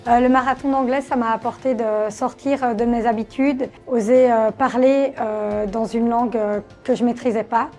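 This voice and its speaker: A woman's voice: a little high, fairly even intonation, normal speed, few pauses, darkish tone, soft background music. A woman giving a spontaneous testimonial as a course participant, hesitant and searching for her words.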